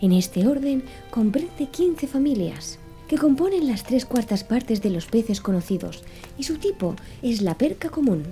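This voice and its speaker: Woman reading as mellow, precise, pedantic man. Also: on the high side, very animated, unhurried, soft background music. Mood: didactic, patient. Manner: didactic, precise. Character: Conseil, earnest, pedantic man reciting fish classifications. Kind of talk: audiobook character dialogue.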